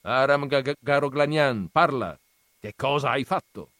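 A man reading aloud, voicing a stern old grandfather who questions his grandsons in a loud, commanding way. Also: mid-range voice, measured pace, no echo, studio quality, pitched above the performer's own voice, lightly acted.

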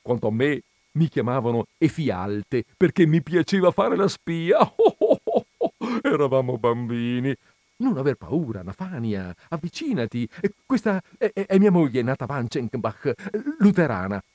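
A thin, chattering fellow from a literary tale, played by a man reading aloud. He gushes and repeats himself in excited exclamations, his pitch swooping upward. The sound is close and dry. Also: normal speed, dry recording, lightly acted. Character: thin man, effusive, chattering, flustered old school friend. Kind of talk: dramatized reading of a literary short story.

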